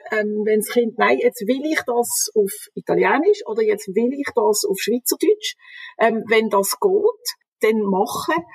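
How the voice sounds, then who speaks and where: A woman speaks as an expert guest in an interview. Her voice is husky and her pitch sits in the middle range. She explains things calmly, and the recording is dry and close. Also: no echo, low-quality recording. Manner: calm, explanatory, advising. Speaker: reading and language development expert. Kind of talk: advice in a conversational interview.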